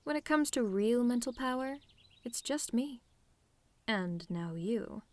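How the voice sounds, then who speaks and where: A woman playing a telepathic villainess in an ASMR roleplay, sardonic and theatrical, menacing with a tender streak, in deliberate phrasing. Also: fairly high pitch, lively intonation. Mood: sardonic, probing. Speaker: audio roleplay performer.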